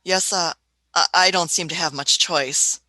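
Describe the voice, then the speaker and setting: Woman doing a fussy old time traveler lady, keeps going hmm and uh, bright tense voice. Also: lowish voice, measured pace. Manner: hesitant, muttering "hmm" and "uh". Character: elderly female time traveler, fussy, shrewd. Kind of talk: fan radio play acting.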